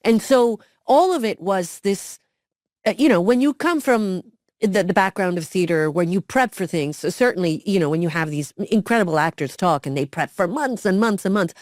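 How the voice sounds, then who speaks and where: A middle-aged woman co-hosting a casual interview, telling her story in a lively way and acting out the quotes. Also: mid-range voice, normal speed, no room sound, clean studio sound. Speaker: podcast co-host, former cast member.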